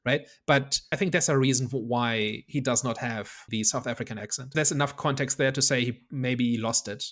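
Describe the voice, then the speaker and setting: A man's voice: medium pitch, fairly even intonation, normal speed, a bit bright. A man hosting a casual movie review podcast, talking things through analytically and hedging as he reasons aloud. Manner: analytical, thinks aloud with hedges. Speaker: podcast host.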